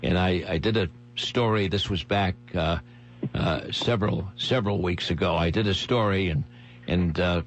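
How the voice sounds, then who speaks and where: A male talk radio host with a fairly low voice interviews a guest. He speaks haltingly and repeats phrases for emphasis, with some hum and noise in the recording. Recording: clear background noise and hum.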